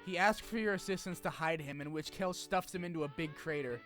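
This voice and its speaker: Man, fairly high voice, video essay host, scripted story recap, casual sweary asides, music behind. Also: fairly quick. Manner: informal, swearing, animated asides. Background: music under the voice.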